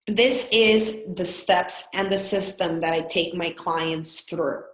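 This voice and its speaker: A woman's voice: on the low side, takes it slowly, roomy sound, low-quality recording. A woman in her thirties from El Salvador with a Salvadoran Spanish accent, presenting a business webinar in an earnest, candid way with frequent fillers. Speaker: business consultant.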